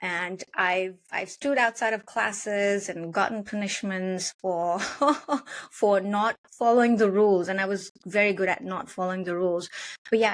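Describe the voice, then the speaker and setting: A woman from Nepal hosting a casual interview podcast, affirming her guest and asking long, winding questions. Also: medium pitch. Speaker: podcast host.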